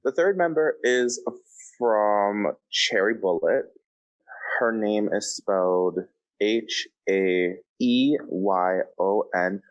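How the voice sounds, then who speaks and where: Male podcast co-host, deep pitch, casual conversational delivery. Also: takes it slowly, dull audio.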